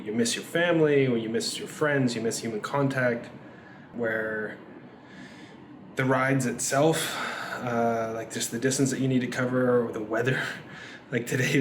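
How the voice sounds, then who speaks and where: A man talking to camera in a reflective, thankful way, sounding a little worn after a long day. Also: mid-range voice. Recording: roomy echo with loud background noise.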